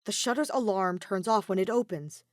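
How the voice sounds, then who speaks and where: A woman's voice: medium pitch, moderate pace, no room sound, clean studio sound, close to an everyday voice. A woman voicing an earnest, urgent male robot who speaks in precise, formal phrases. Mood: firm, earnest.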